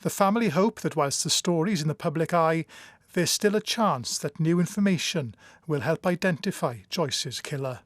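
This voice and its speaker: A man's voice: fairly high pitch, normal speed, dry recording, clean studio sound. A man narrating a scripted news report as a reporter.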